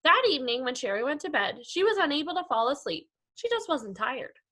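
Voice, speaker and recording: A woman with a bright, high voice reads to young children as their teacher. Her delivery is expressive and very melodic, moving freely up and down as she tells the story. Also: fairly quick. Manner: expressive, addressing young pupils. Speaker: elementary school teacher. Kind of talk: animated children's read-aloud.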